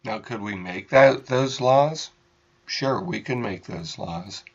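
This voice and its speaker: An American man giving unscripted political commentary as a radio host, in halting, deliberate phrases with emphasized words. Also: fairly low pitch, dull audio.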